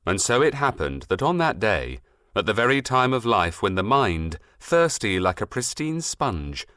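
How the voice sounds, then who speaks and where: Expressive low-voiced man reading a story. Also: no echo, studio quality. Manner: expressive, literary, measured storytelling delivery. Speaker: audiobook narrator. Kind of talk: scripted storybook narration.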